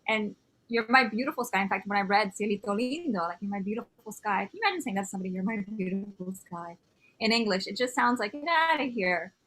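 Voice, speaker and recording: A woman's voice: medium pitch, expressive, fast talker, a bit bright, poor audio. A woman author from Miami, chatting casually on a video call, full of "like" fillers and slipping into Spanish words. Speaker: author guest.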